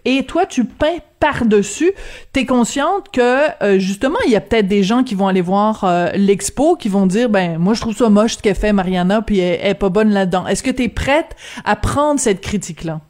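A woman hosting a radio interview, asking measured questions with a few hesitant fillers. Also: mid-range voice, animated, a little mellow. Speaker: radio host.

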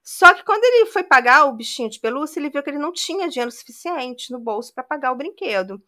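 Woman podcast host, bright tone, chatty crime storyteller. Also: sits high, animated, fast talker. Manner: conversational retelling with frequent "né".

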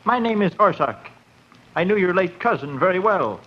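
An actor in a 1940s radio horror drama playing a strangely eager little fat collector, speaking in an insinuating way. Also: male voice, high-pitched, lively intonation, moderate pace. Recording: muffled 1940s radio recording.

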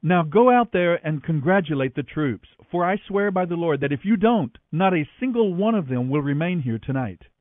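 A man voicing a stern army commander who forcefully scolds his king in a dramatized scripture reading. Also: fairly high pitch, lively intonation, normal speed, few pauses, voice pushed up, close to an everyday voice. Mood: indignant, stern. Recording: radio broadcast with echo, narrow band, music behind.

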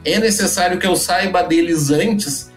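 Higher-voiced man explaining steadily. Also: normal speed, soft background music. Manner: explanatory, restarts and fillers. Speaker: guest, data company founder. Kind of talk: podcast interview answers.

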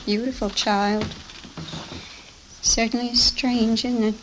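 A woman's voice: fairly high pitch, flat delivery, takes it slowly. An actress playing a wistful, bewildered middle-aged mother, rambling plaintively in 1950s radio drama style. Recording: noisy old radio recording.

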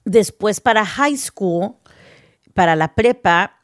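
A woman's voice: mid-range voice, lively intonation, slow pace, no echo, clean studio sound. A woman from the United States tells personal stories casually and candidly, mixing in English words. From United States (New Jersey, later Texas). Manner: candid, conversational, mixes English words into Spanish.

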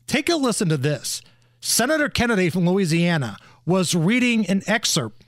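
A man's voice: sits high, very animated, moderate pace, no room sound. A man hosting a talk radio show with opinionated, emphatic commentary.

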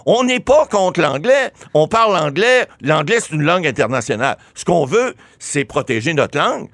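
A man with a Québécois French accent explains a new law as a legal commentator, his pitch rising and falling in lively swings. He often repeats and restarts words as he builds his point. His voice sits a little high and is recorded close and dry. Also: moderate pace, dry recording. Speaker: lawyer commentator. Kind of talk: explanatory talk show commentary.

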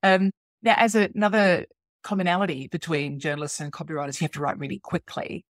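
A woman's voice: deep voice, fairly even intonation, fast, dry recording, clean studio sound. A middle-aged woman hosting an interview, chatty and appreciative as she puts questions to her guest. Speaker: podcast host, copywriter. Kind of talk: conversational interviewing.

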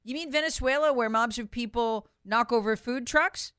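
A woman's voice: fairly high pitch, moderate pace, fairly bright tone, clean tone, no echo, clean studio sound. A woman hosting opinionated political commentary, speaking sarcastically and emphatically, repeating phrases for effect and acting out quotes in a conversational way.

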